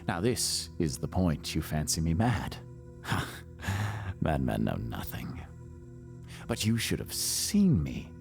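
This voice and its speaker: A man playing a nervous, boastful madman confessing a murder in a theatrical Gothic horror monologue, melodramatic and swinging between hushed and shrill delivery. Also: big pitch swings, slow, vocal fry, long pauses, over music, heavily acted. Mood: manic, gleeful.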